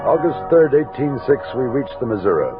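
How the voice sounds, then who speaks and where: An actor playing a steady army captain who narrates and gives firm orders in a 1960s Western radio drama. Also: male voice, mid-range voice, a little fast, music in the background, natural voice. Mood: measured, reflective. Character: steady commanding army captain leading a frontier expedition. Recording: thin lo-fi old radio recording, hiss.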